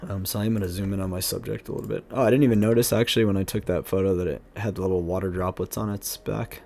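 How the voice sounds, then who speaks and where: A young man with a hoarse, gravelly voice chats casually through a live stream, explaining each step of his photo editing and answering viewers. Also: sits low, fairly even intonation, quick pace, mellow. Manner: chatty, talks through each step, greets chat. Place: home room at a desk. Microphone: headset.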